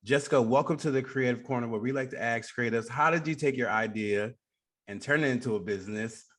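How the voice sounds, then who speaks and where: A man's voice: medium pitch, brisk, fairly bright tone. A man hosting a radio interview show, speaking in an upbeat, conversational way to his guest and listeners.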